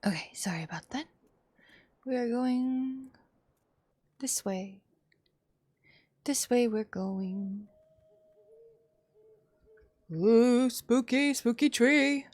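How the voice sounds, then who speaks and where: A woman's voice: on the high side, very slow, pauses often, darkish tone, dry recording. A woman live streaming a game, giving casual commentary as she plays. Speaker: live streamer playing a visual novel.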